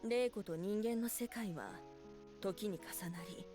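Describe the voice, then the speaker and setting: A woman's voice: mid-range voice, normal speed, natural voice. Cold, distant fox spirit woman, played by an actress. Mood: cool, detached. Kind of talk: Japanese visual novel voice acting. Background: background music.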